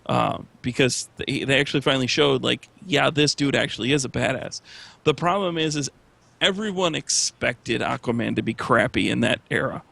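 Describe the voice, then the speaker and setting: A man on a debate panel, chatting casually and giving opinions in a halting, stammering way. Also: medium pitch, creaky, fairly bright tone.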